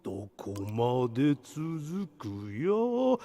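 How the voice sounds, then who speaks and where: An actor playing an eerie old man who slowly sings a traditional nursery rhyme in a video game. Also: a little high, huge pitch swings, warm tone, heavily acted. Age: older. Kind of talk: slow singing.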